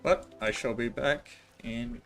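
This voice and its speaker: A man's voice: medium pitch, slow pace, fairly bright tone, soft background music. A man doing casual livestream commentary on a video game, thinking aloud in an informal, offhand way. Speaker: game streamer.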